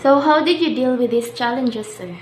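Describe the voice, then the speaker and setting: Young Filipino woman interviewer, higher-pitched, echoey. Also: normal speed, darkish tone, a little hiss or hum. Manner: polite, deferential. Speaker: student interviewer. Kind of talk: prepared interview questions. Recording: echoey room, music behind.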